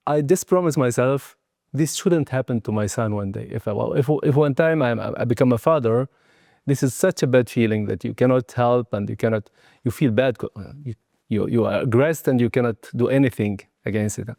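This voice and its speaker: A man's voice: mid-range voice, normal speed, creaky, a little mellow, dry recording, studio quality. A man in his sixties from Tunisia, a non-native speaker, talking reflectively as an interview guest in hesitant, broken phrasing. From southern Tunisia. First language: not English. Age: 60s. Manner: hesitant, broken phrasing in non-native English, frequent "you know" and "eh" tags. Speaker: guest, martial arts and Qigong teacher. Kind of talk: reflective personal interview talk.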